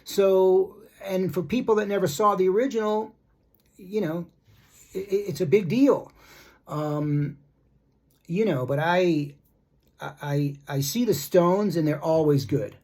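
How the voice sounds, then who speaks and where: A middle-aged man giving a casual opinion monologue as a rock music commentator, blunt and critical, rambling with halting, repeated starts. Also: sits high, a little mellow. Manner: rambling, repetitive, halting asides.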